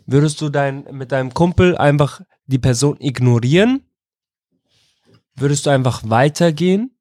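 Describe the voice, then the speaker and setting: A man's voice: medium pitch, lively intonation, moderate pace, a little mellow, dry recording. A male interviewer who grew up in Iraq asks his questions in an informal way. He often circles back and rephrases a question several ways. His voice sits in a middle register and sounds dry and close. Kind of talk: casual youth interview.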